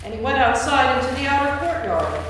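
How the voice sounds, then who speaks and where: A woman's voice: sits high, very animated, brisk, fairly bright tone. A woman narrator reading scripture from a script in a staged church reading. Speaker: narrator, priest. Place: church, recorded from a distance. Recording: very echoey church, distant mic, faint noise.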